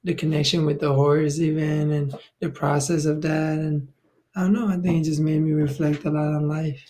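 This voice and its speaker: A man's voice: fairly high pitch, flat delivery, normal speed, mellow. A young man from Thailand speaking as a podcast guest. He talks in a rambling, laid-back way, with many fillers and restarts. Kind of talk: casual conversational interview.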